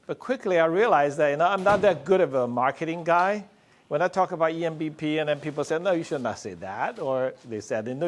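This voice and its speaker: A middle-aged male psychiatrist giving a conference talk, speaking loudly and slowly and joking with the audience. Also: medium pitch. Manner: loud, slow-paced, joking with the audience.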